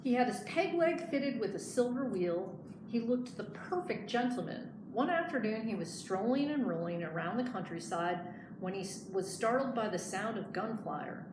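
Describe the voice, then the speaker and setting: A woman's voice: fairly high pitch, lively intonation, moderate pace. Woman reading a storybook in an echoey room. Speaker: librarian reading aloud. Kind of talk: expressive picture-book read-aloud. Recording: echoey room, clear background noise.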